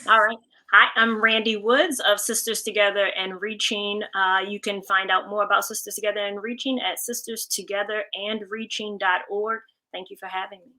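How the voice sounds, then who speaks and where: A woman with a bright, crisp-edged tone gives a short introduction as a panel guest. Her intonation stays very even. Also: medium pitch, normal speed, poor audio. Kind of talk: brief self-introduction and plug.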